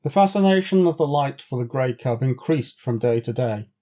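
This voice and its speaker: A slightly dull, lo-fi recording of a male storyteller with a mellow, dark voice. He reads literary prose in measured, carefully shaped phrases, with an actor's sense of delivery. His pitch sits in an ordinary middle range for a man. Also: expressive. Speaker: audiobook storyteller. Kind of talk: scripted literary narration.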